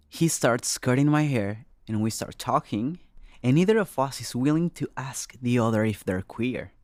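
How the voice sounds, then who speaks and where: A man's voice: medium pitch, dry recording, studio quality. A man telling a first-person story in plain short sentences, voicing the quoted dialogue as he goes. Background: music.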